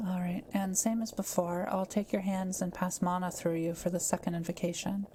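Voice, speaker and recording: A woman playing a kind, gentle witch in an intimate roleplay audio drama, speaking softly and carefully to the listener. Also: fairly low pitch, level tone, normal speed, slight background noise, natural voice. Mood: gentle, reassuring. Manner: soft-spoken, reassuring, careful explaining.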